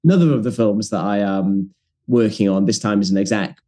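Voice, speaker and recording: A man's voice: fairly low pitch, a little fast, warm tone. A man, a documentary producer, talking as a guest in an earnest, thoughtful way with some ums. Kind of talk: casual interview chat.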